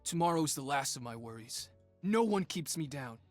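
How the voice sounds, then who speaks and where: A man's voice: a little high, animated, normal speed, faint music behind, natural voice. A man playing a cocky, determined young male inventor and street fighter in punchy, brash video game lines. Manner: punchy, brash one-liners.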